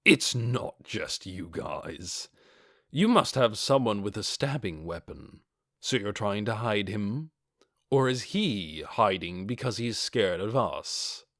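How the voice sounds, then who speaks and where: Man acting a cool, measured armored warrior. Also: on the low side, very animated, a bit bright, no room sound, studio quality, exaggerated voice. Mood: probing, cold. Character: calm, coldly confident armored male adventurer.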